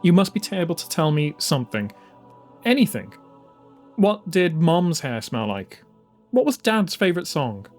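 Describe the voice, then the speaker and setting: A man's voice: a little high, big pitch swings, normal speed, soft background music, voice pushed up. A man voicing a young woman, a pleading and stubborn fighter, in an emphatic dramatised audiobook style. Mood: pleading, frustrated.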